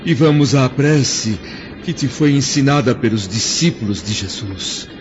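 An actor playing an aging Roman senator in a radio drama, solemn and pleading. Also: male voice, lowish voice, close to an everyday voice. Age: older. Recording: dull lo-fi audio with loud noise.

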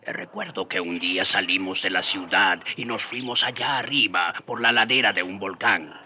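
A man's voice: low voice, moderate pace, sharp tone, slight background noise. An actor playing a man who fondly recalls past days as the narrator of a radio drama. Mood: reflective. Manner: reminiscent, storytelling. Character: fond storyteller recalling his days with the friars.

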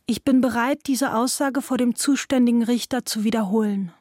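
A woman's voice: on the high side, normal speed, a little mellow, dry recording, clean studio sound, lightly acted. A woman playing a resolute young daughter giving formal testimony in a radio documentary. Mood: firm, formal.

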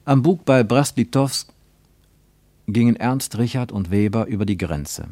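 A man reading a scripted literary narration for a radio play in a measured, deliberate way. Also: mid-range voice, lively intonation, darkish tone, no room sound.

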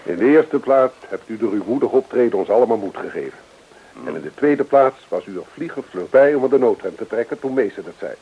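Husky, animated affable gentleman. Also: medium pitch, brisk. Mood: affable, earnest. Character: affable, talkative male train passenger. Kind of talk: radio drama acting. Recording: dull old radio play recording.

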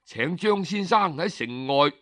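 Man voicing an eager envoy, unhurried. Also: a little high, lively intonation, a bit bright, dry recording, clean studio sound. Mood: courteous, persuasive. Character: eager, courteous male Qin envoy of ancient China.

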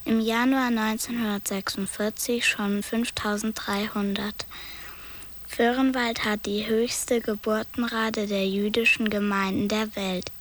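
A woman's voice: medium pitch, flat delivery, a little slow. A woman reading factual documentary narration that reports figures.

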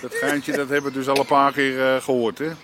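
An actor playing an old-style underworld boss in a radio play, speaking in an offhand, terse and probing way. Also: male voice, medium pitch, completely flat delivery, brisk, background noise, close to an everyday voice. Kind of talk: naturalistic radio play dialogue.

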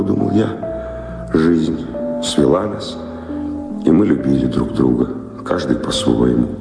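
An actor playing a weary, wistful wanderer and philosopher in a radio drama, musing deliberately with pauses. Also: male voice, extremely low pitch, creaky, exaggerated voice. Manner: musing, deliberate, pausing storyteller. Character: weary wandering man revisiting his old love, wistful philosopher. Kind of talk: theatrical radio drama acting. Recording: echoey hall reverb, music underneath.

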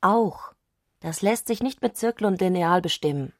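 A woman's voice: fairly low pitch, animated, normal speed, dry recording, studio quality. A woman playing a fervent, eccentric woman in a literary radio drama, insistent and declarative. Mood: matter-of-fact. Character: Clarisse, fervent, eccentric woman obsessed with madness.

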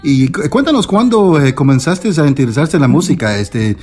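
A man's voice: fairly high pitch, sing-song, normal speed, darkish tone, breathy edge. A man hosting a Spanish-language radio show, interviewing a guest with many fillers and restarts. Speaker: radio host.

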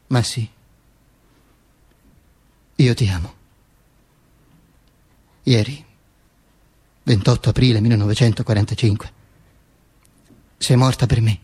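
A male actor playing a dead dictator's corpse as a bombastic, sardonic, macabre storyteller in a theatrical radio monologue, declaiming with halting stammers. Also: mid-range voice, darkish tone, slightly rough. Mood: mournful, ardent.

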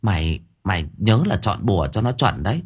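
A man's voice: lowish voice, big pitch swings, a little fast, slightly rough. A man voicing a bossy, foul-mouthed young woman exorcist who scolds shrilly. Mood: scolding.

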